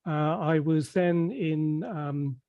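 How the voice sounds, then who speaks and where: An older man from Merseyside, speaking without a regional accent, telling his story in an interview in a measured way, full of fillers and restarts. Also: on the high side, dry recording, phone-quality sound. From Merseyside, England. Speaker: interview guest. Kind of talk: conversational interview.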